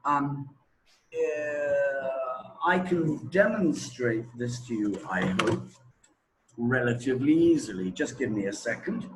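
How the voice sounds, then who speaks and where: A man's voice: mid-range voice, slow, background noise. A man explaining a point as a teacher, in a didactic way with check questions. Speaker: English teacher. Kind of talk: explanatory teaching talk to a learner.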